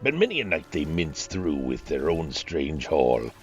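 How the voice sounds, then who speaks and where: An actor playing a long-winded ghostly old sea skipper with rambling, archaic sailor talk in an old-time radio play. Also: male voice, a bass voice, big pitch swings, measured pace, muffled recording, soft background music, heavily acted. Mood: jovial, eerie.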